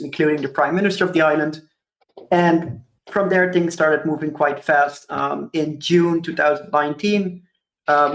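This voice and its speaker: A man's voice: fairly high pitch, a bit bright. A man in his twenties from Curaçao giving a conference talk, thoughtful, with frequent ums and hedging fillers. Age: 20s. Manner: thoughtful, many ums and kind-ofs. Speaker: conference speaker. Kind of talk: presentation talk. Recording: roomy echo.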